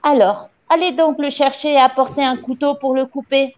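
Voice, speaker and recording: A woman's voice: high voice, fairly even intonation, normal speed, pitched above the performer's own voice, natural voice. A woman reading a story as a domineering headmistress, mock-polite and commanding with a menacing false sweetness. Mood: loud, gloating.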